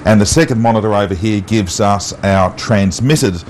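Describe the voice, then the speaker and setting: An Australian man presenting an unscripted amateur TV walk-through, halting often with ums and uhs. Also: lowish voice, darkish tone. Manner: halting, with long um and uh pauses. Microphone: wireless mic pack. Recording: noisy amateur TV transmission audio.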